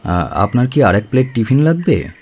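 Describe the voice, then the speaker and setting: A man's voice: mid-range voice, very animated, moderate pace, a little mellow, breathy edge. An actor playing a frank, wry young bachelor in a radio play, polite but teasing as he talks. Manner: polite, teasing, hesitant openers.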